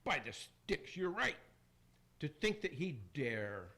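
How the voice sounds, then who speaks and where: Vain theatrical tyrant's ghost from hell, played by a man, declaiming radio-play rhymes with big pitch swings. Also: big pitch swings, slow pace, sharp tone, exaggerated voice. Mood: vain, excited. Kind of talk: amateur radio play acting.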